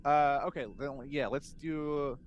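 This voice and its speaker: A man's voice: fairly high pitch, fairly even intonation, takes it slowly, highs cut off, a little hiss or hum. A man chatting casually as a player in a tabletop roleplaying game, sometimes hesitant and joking.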